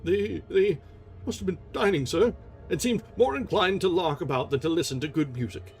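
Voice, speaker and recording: A man's voice: high-pitched, lively intonation, normal speed, soft background music, voice pushed up. A man voicing a nervous male theatre inspector who stammers and pleads while explaining himself. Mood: flustered.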